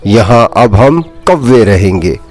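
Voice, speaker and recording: A man's voice: fairly low pitch, lively intonation, slow, darkish tone. A haughty male crow who bosses and threatens others, acted out by a male storyteller. He speaks like the bully of a children's storybook, with music playing behind him. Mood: haughty, menacing. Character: arrogant bullying male crow gang leader.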